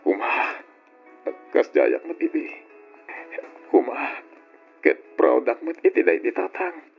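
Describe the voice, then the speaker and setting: A man plays an earnest, grateful son who speaks with feeling, his voice husky and gravelly. The sound is dull and lo-fi. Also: medium pitch, sing-song, slow, soft background music, exaggerated voice. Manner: earnest and emotional. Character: grateful grown son of a farmer. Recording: dull lo-fi audio.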